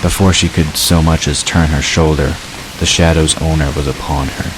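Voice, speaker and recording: A man's voice: very deep voice, steady tone, moderate pace. A man narrating a dramatic fantasy story from a script. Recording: clear hiss behind the narration.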